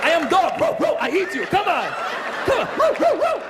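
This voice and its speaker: A man's voice: very high-pitched, wildly swooping pitch, a little fast, over a noisy crowd, heavy background noise, voice pushed up, heavily acted. A man acting out an overexcited adult male fan who shrieks meows and whoops. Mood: giddy shouting.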